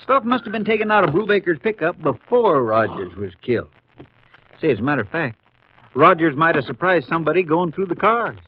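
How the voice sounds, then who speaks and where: A man's voice: a little high, very animated, brisk, slightly rough. A man playing a plain-spoken Texas sheriff with an American accent and folksy drawl in a 1950s radio western. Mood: businesslike. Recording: muffled, noisy old 1950s radio recording.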